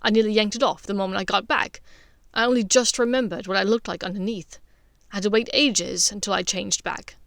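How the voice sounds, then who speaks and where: Young wizard son, audiobook, played by a woman: begrudging, awkward, halting, embarrassed, middling pitch for a woman. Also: steady tone, gravelly, fairly bright tone, no echo, studio quality. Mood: grumbling.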